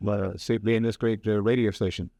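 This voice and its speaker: Older man recalling his career, low and dry. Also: little pitch movement, fairly quick, gravelly, dark tone, no echo. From Hawaii. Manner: rambling, many fillers and restarts. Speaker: professor and guest. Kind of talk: casual radio interview.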